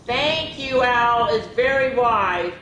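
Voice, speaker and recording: A woman voicing an excitable, scatterbrained female squirrel from a children's storybook, exclaiming eagerly. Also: medium pitch, moderate pace, voice pushed up, lightly acted. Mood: excited. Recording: roomy echo with faint noise.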